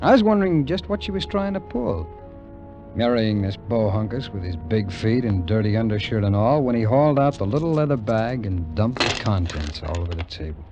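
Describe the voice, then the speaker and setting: A man's voice: on the low side, big pitch swings, brisk. An actor playing a wry, wary American war veteran telling his tale in a 1950s radio drama, in a hesitant, colloquial way. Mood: wry, rueful. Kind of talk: 1950s radio drama acting and first-person narration. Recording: muffled vintage 1950s radio recording, music behind.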